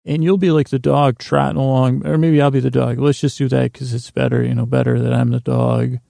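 Gravelly, rambling male podcast host. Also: mid-range voice, little pitch movement, warm tone, no echo, studio quality. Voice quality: gravelly, creaky. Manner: meandering, halting, digressive, loses his thread. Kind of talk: rambling bedtime monologue meant to help listeners sleep.

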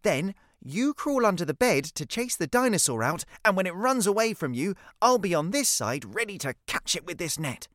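A storyteller voicing an excitable, determined young girl in a children's story. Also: medium pitch, normal speed, hardly any pauses, no echo, studio quality. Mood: determined, bossy.

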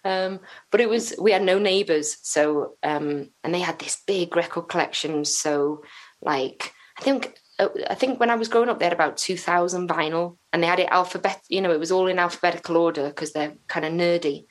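A rambling, chatty woman speaks with a fairly low voice. She talks as an interview guest, breaking off and restarting her thoughts. Her sentences are full of fillers. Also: a little fast. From North Wales. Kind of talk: casual interview chat.

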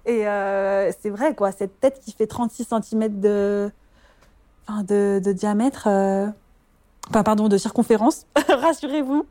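A woman's voice: a little high, a little mellow. A woman, a midwife talking about her work in an interview, hesitant with many fillers and easy laughter. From France. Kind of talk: personal interview testimony.

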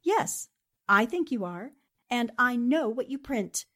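Resolute American girl reporter, acted by a woman, 1940s radio mystery. Earnest, dramatic, very lively intonation. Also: a little high, takes it slowly, exaggerated voice. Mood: sharp deduction. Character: brave, serious 1940s newspaperwoman investigator.

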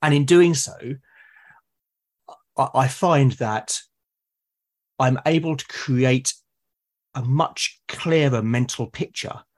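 A man's voice: mid-range voice, slow pace, pauses often. A British man speaking as a podcast guest, explaining things earnestly in casual conversation. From Britain.

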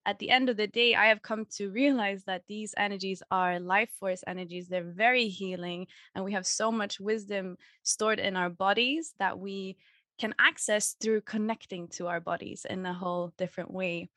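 A woman's voice: mid-range voice, normal speed, no room sound, studio quality. Effusive young woman podcast host. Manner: warm, effusive, spiritual. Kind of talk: casual podcast interview.

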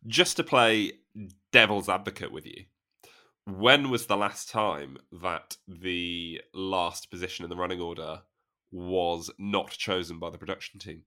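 A man's voice: deep voice, steady tone, unhurried, sharp tone. A man co-hosting a podcast, talking in playful, teasing banter. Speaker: podcast co-host. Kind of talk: casual banter.

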